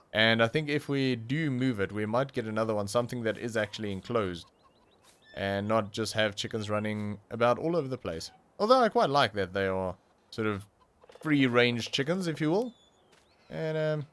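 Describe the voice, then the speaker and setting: A man's voice: mid-range voice, normal speed, fairly bright tone. A young man, not a native English speaker, commenting casually on his own gameplay, thinking aloud with fillers and restarts. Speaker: gaming channel host.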